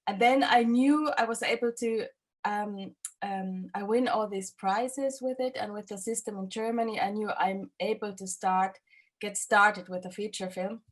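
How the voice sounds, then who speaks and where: Woman director, 30s, English, halting answers full of fillers. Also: mid-range voice, steady tone. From Finland. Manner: halting, many fillers and restarts. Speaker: film director. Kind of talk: festival interview, casual answers.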